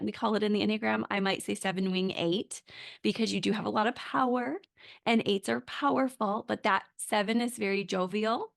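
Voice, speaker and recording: A woman hosting a podcast interview, her voice a little raspy, talking in a bubbly, effusive way and laughing often. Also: medium pitch, fairly bright tone. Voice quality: raspy today. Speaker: podcast host.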